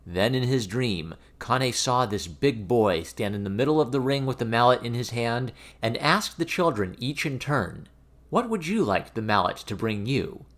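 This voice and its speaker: A man reading a fairy tale aloud as an expressive, theatrical storybook narration. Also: mid-range voice, moderate pace. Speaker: storyteller, reader of fairy tales.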